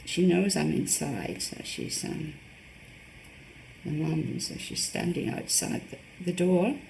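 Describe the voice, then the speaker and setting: Croaky gravelly lady chatting thru a card craft demo, roomy sound, mic a bit far off. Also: sits low, animated, slow pace, a little mellow. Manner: chatty, narrates each step with fillers. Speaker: card-making host. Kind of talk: casual craft demonstration. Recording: roomy, mic at a distance, faint background noise. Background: birds outside.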